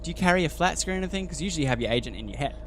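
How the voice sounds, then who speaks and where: A man's voice: a little high, lively intonation, racing, a little hiss or hum. A man narrating a tabletop roleplaying game as game master. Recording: loud music behind. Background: music.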